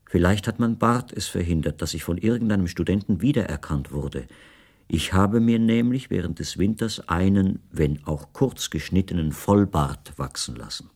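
Deep, gravelly, brooding writer. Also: male voice, lively intonation, a little mellow, no room sound, heavily acted. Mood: wry, deliberate. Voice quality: gravelly, husky, often dropping to breathy near-whisper. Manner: measured, deliberate, ornate phrasing. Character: brooding, self-assured bearded male writer, a confined houseguest recounting his life. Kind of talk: literary first-person radio drama narration.

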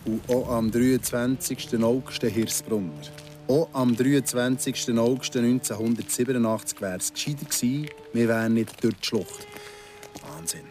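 A man's voice: mid-range voice, steady tone, normal speed, a little mellow, faint music behind, close to an everyday voice. An actor playing a brooding, haunted man who muses in clipped, repeated phrases, in a Swiss German radio drama monologue. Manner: musing, repetitive, clipped phrases.

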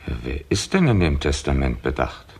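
Very low-voiced, skeptical police chief. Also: male voice, very animated, moderate pace, a little mellow, a little hiss or hum, heavily acted. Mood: businesslike, skeptical. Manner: probing, hesitant murmurs, courteous but commanding. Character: businesslike, skeptical London police chief inspector. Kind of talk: 1960s German radio crime drama acting.

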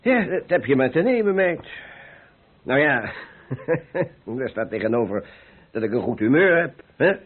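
An actor playing a proud, hot-tempered but good-humoured painter in a vintage radio play, with husky, blustering, earthy talk. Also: male voice, mid-range voice, big pitch swings, a little slow, pauses often. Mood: soothing, rueful. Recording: muffled narrow-band old radio recording.

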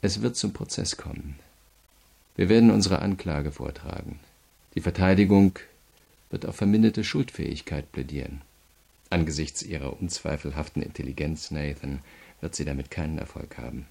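An actor in a German radio crime drama playing a cool, relentless state prosecutor, gravelly, measured, methodical and coldly polite. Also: male voice, very deep voice, warm tone, heavily acted. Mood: cold, menacing.

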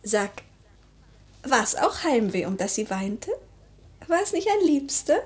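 A woman's voice: on the high side, big pitch swings, slow pace. An actress playing a melancholy, impulsive noblewoman in a verse radio play, speaking in a theatrical, lyrical, pensive way. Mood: tender, wistful. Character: melancholy, impulsive noble lady mistress of a house. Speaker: radio drama actress in a leading part. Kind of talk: mid-century German verse radio play acting.

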